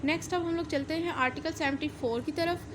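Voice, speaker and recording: A woman with a Pakistani accent teaches in a distinctly high voice. She explains step by step, repeating and rewording points and slipping in English technical terms. Clear background noise runs behind her. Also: fast talker. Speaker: law lecturer. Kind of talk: explanatory lecture mixing in English legal terms.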